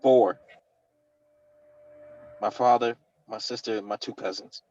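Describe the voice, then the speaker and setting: A man's voice: lowish voice, fairly even intonation, takes it slowly, mellow, highs cut off. A man reading the part of a worried army captain, earnestly pleading with his brother-in-arms in an urgent but restrained way. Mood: sorrowful, earnest. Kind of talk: dramatic script table read.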